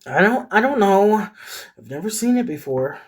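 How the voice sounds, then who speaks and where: Reader as frightened, manic-edged horror protagonist, raised pitch, pleading delivery. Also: big pitch swings, moderate pace, a little hiss or hum, lightly acted. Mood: anxious, defensive. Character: Peyton, frightened, haunted, increasingly manic horror-story protagonist.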